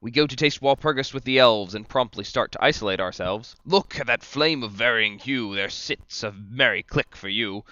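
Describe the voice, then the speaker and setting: A man's voice: mid-range voice, moderate pace, few pauses, no echo, lightly acted. A man playing a sly, boisterous devil, a worldly tempter, in a declamatory, teasing reading of verse drama. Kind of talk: theatrical amateur verse reading of a stage drama. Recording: muffled, loud crowd din behind.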